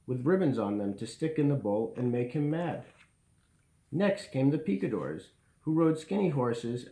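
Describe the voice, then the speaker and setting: A man reads a children's bedtime story aloud in a lively, expressive way. His mid-pitched voice swings up and down, and he pauses for emphasis and speaks to his listeners. The sound is echoey, as in a bare room. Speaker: bedtime story reader for a school community.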